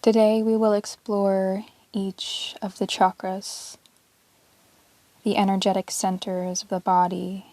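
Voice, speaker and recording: A woman leads a guided yoga nidra meditation at a very unhurried pace. Her voice is soft-edged and mellow, staying on an even, level line. She sounds calm and soothing, leaving long pauses in a close, dry recording. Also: medium pitch, no echo. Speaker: yoga teacher guiding a meditation.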